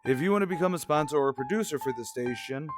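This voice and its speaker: A man's voice: fairly high pitch, animated, fast talker. A man reading a scripted outro as the announcer of a radio drama podcast. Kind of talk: scripted show outro narration. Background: music with plucked guitar.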